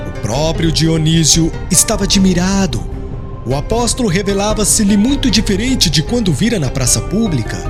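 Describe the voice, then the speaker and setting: A man with a Brazilian accent reads a literary audiobook in a solemn, expressive storytelling manner. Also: sits high. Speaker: audiobook narrator. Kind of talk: scripted literary narration. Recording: music under the voice. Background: background music.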